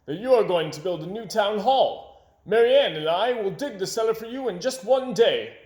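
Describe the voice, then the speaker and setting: Storybook steam shovel man , read by a man: eager, assured, emphatic, raised lively voice. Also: high voice, moderate pace, roomy sound, pitched above the performer's own voice. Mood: eager, confident.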